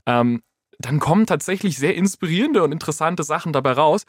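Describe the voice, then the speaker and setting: A man's voice: fairly high pitch, lively intonation, brisk, no room sound. A man in a casual studio conversation, explaining things step by step as an expert guest and giving quoted examples. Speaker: AI expert guest, podcast host.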